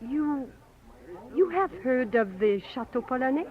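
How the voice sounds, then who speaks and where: Anxious high-voiced young French woman. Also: lively intonation, unhurried. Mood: guarded, earnest. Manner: hesitant, polite, earnest. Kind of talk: 1940s American radio drama acting. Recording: muffled old radio broadcast recording.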